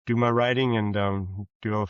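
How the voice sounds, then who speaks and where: A man's voice: on the low side, fairly even intonation, smooth. An American man in his sixties answering casually as an interview guest, in a hesitant, folksy way. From rural Kansas, USA. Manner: hesitant, halting, folksy phrasing. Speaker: guest, author and former police officer. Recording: roomy, dull lo-fi sound.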